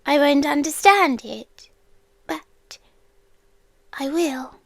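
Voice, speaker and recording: A British woman playing an ancient, possessive, inhuman stalker in an intimate ASMR roleplay, speaking in a hushed, deliberate, teasing way that slips into whispers. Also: sits high, no room sound, heavily acted.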